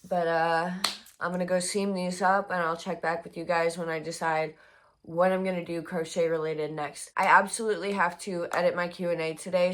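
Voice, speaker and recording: A young woman chatting casually in a crochet vlog, her voice hoarse and congested, rambling with many fillers. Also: on the low side, a bit bright. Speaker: crochet vlogger.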